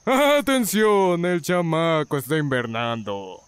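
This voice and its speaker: A woman's voice: low-pitched, lively intonation, slow, smooth, no room sound. A woman voicing a goofy, mischievous, dramatic young male ghost in an amateur cartoon dub, theatrical and wheedling, with a Latin American accent. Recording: loud music behind fan dub.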